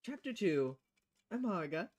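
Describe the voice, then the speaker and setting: A woman's voice: fairly low pitch, sing-song, a little slow, a little mellow. A woman streaming a game, chatting casually and reading aloud for her viewers. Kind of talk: casual livestream chat and read-aloud.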